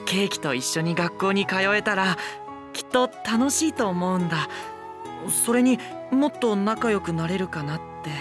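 An actor playing a gentle, eager, boyish young lord, soft-spoken, polite and earnest, in an anime drama. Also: male voice, high-pitched, expressive, moderate pace, over music.

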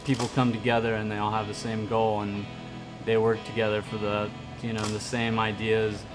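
A man giving an earnest interview statement, steady and slightly halting. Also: on the low side, poor audio. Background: background music.

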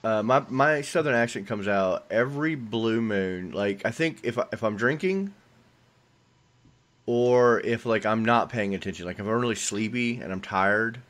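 Male podcast host, low voice, joking and rambling, raised in the American South. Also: lively intonation, moderate pace, a bit bright. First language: not English. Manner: joking, rambling, drops into mock accents. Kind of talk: casual podcast chat.

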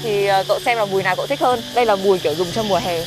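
A woman's voice: mid-range voice, rapid-fire. Young woman, street interviewer, chatty, giggly, outdoor park, music bed underneath. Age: young adult. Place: outdoors by a lake in a city park.

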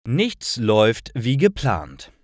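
A man reading stories for language learners in a careful, clearly articulated and expressive way. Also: medium pitch, slow, no room sound, clean studio sound. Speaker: narrator of stories for German learners.

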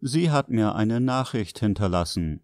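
A man narrates a language lesson in a slightly low voice. He reads out short scripted sentences one at a time. The recording is very dry, like a studio. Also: animated, moderate pace, darkish tone, no echo. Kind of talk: scripted sentence translations read out.

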